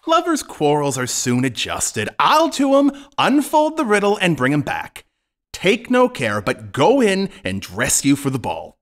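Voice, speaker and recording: A woman's voice: low-pitched, huge pitch swings, a little slow, full character voice. A woman playing a scheming, cheeky male servant in an audio farce, with a bustling, bossy, mock-grand delivery. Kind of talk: theatrical period farce in an audio play.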